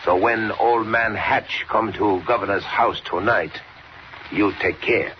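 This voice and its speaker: Man playing slow, menacing foreign-accented outlaw boss. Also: fairly low pitch, fairly even intonation, close to an everyday voice. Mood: cold menace. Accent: foreign, broken English. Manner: slow, deliberate, threatening. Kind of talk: 1940s radio acting. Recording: dull, noisy old radio recording.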